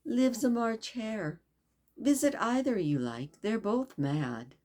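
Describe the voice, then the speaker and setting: A woman voicing a sly, riddling cat, speaking softly with a teasing drawl in a storybook reading. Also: a little high, animated, slow, voice pushed up. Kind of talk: storybook read-aloud.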